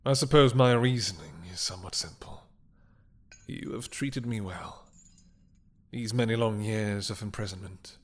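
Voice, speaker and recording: Husky, low, seductive dragon king played by a man. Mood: reflective. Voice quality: husky, breathy. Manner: slow, teasing, hesitant drawl with murmured asides. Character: imprisoned mad dragon king, ancient, seductive, menacing, obsessed. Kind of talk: intimate audio roleplay acting.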